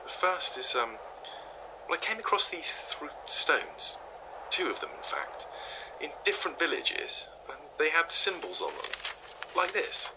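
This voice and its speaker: A man's voice: fairly high pitch, very animated, measured pace, in a whisper, poor audio. An actor playing a curious male professor, formal and hesitant. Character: curious male professor recording research tapes.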